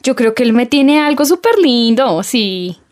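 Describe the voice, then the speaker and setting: A woman's voice: fairly high pitch, sing-song, a little slow, a little mellow. A woman playing an eager, fretting young girlfriend with a Colombian accent in a radio soap. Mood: fretful.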